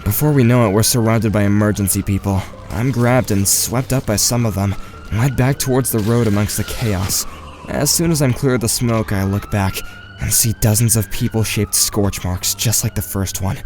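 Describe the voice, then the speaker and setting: A man's voice: fairly low pitch, normal speed, a little mellow, close to an everyday voice. A man playing an anxious, shy teenage boy who narrates his own story in an audio drama. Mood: grave. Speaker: narrator and lead actor.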